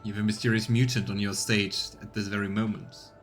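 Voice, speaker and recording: A man's voice: on the low side, flat delivery, quick pace, soft background music, lightly acted. Man acting a stern, suspicious male interrogator.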